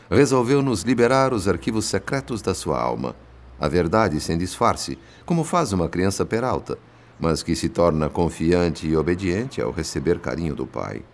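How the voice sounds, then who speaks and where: A man playing an earnest narrator in a radio drama, telling the story in formal, literary, dramatic phrasing. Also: sits low. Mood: reflective.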